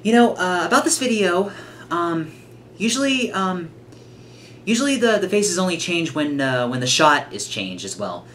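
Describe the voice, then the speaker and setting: A man's voice: high-pitched, very animated, moderate pace, fairly bright tone, a little hiss or hum. A man giving casual, off-the-cuff reaction commentary in a hesitant, rambling way. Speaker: reaction video host.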